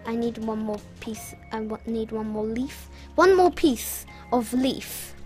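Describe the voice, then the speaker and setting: A woman's voice: on the high side, slow pace, a little mellow, faint music behind. A young British woman chatting casually through her gameplay, rambling with self-mocking asides. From Britain. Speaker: gaming channel host.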